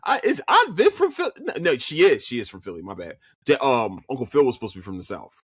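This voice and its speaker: Animated Philly man reviewing shows. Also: mid-range voice, fast talker, slightly rough, no echo, studio quality. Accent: Philadelphia. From Philadelphia. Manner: animated, swears freely, frequent restarts and stammered repeats. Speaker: podcast host. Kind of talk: casual solo review chat.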